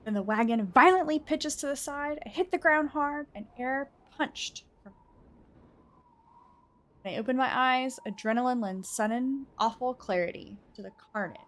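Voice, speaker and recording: A woman reading a visual novel aloud as a game streamer, with expressive, theatrical delivery. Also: sits high, normal speed. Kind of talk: dramatic narration of a visual novel with casual asides.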